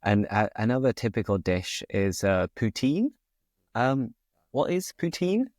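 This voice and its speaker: A man from Britain hosts an interview, asking questions with upbeat curiosity. His voice is on the low side, recorded close and dry. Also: big pitch swings, measured pace, darkish tone, no echo. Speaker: host.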